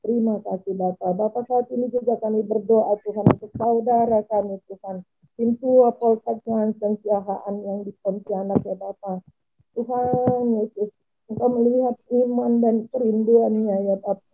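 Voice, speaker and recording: Woman prayer leader, gravelly and breathy, fairly high voice, fervent and repetitive, tinny phone-line sound. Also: fairly even intonation, moderate pace, faint music behind. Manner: repetitive, fervent, with trailing phrase endings. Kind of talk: spoken intercessory prayer.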